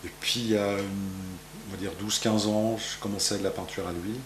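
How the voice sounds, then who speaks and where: Hesitant French painter in echoey gallery. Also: male voice, on the low side, fairly even intonation, fairly quick, slight background noise. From France. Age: 50s. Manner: rambling, hesitant with many fillers and restarts. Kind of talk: interview answers. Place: art gallery, indoors.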